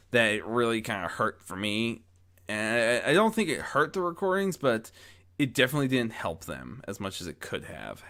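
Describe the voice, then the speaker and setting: Man, slightly low voice, casual reflective monologue, rambling, frequent false starts, close mic with pop guard. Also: a bit bright. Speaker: amateur audio story narrator and channel host. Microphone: close mic on boom arm with pop guard.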